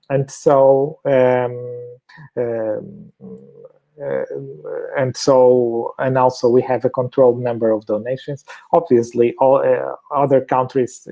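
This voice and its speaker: A man's voice: medium pitch, mellow, low-quality recording. A man with a Portuguese accent giving a webinar presentation over a video call, hesitant, with many fillers and restarts. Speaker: fertility clinic doctor, webinar speaker.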